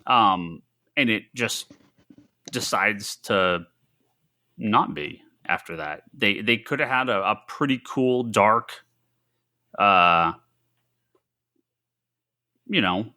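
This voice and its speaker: A man co-hosting a podcast, giving blunt, exasperated opinions about movies in a casual, halting way. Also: fairly low pitch, pushed and bright. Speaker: podcast co-host.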